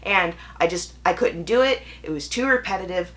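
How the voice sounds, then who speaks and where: A young woman with a fairly low-pitched voice talks as a book reviewer hosting her own video. Her delivery is casual and conversational, candid and chatty, and she corrects herself as she thinks aloud. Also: expressive, normal speed, a bit bright. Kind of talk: casual chatty vlog monologue.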